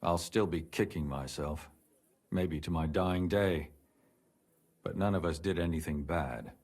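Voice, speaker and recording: An actor playing an earnest, remorseful medieval lawman in a video game, speaking in a measured, formal way with a husky voice. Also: very deep voice, a little mellow. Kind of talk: video game voice acting, dramatic dialogue.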